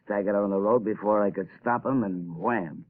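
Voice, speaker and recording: A man's voice: fairly low pitch, a little fast, natural voice. An actor playing a sneering gangster in a 1930s radio drama, talking tough-guy slang, on a tinny old broadcast recording. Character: gruff male gangster, menacing, sneering.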